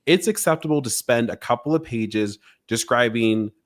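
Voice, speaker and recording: A man's voice: mid-range voice, normal speed. A man hosting a podcast in a casual conversational style, musing informally and rambling a little through his explanations. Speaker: podcast host.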